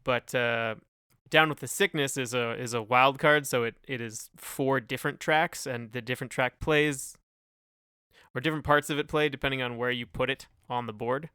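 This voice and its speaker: A man's voice: medium pitch, fairly even intonation, normal speed, sharp tone, smooth, dry recording, studio quality. A man co-hosting a podcast in casual chat, talking in a hesitant, rambling way full of hedges. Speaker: podcast co-host.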